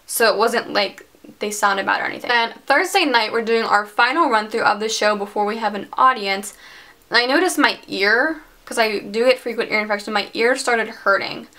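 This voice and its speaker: A woman's voice: a little high, moderate pace, pushed and bright. A teenage girl telling a personal story in a casual vlog, chatty and filling her sentences with "like". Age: teens. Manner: chatty, heavy use of "like". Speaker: vlogger.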